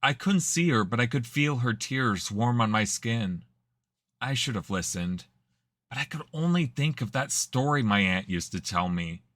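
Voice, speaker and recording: A man voicing a young man who haltingly recounts a painful childhood memory in an audio drama, in a subdued, hesitant way. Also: medium pitch, expressive, a bit bright, no echo, close to an everyday voice. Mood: wistful.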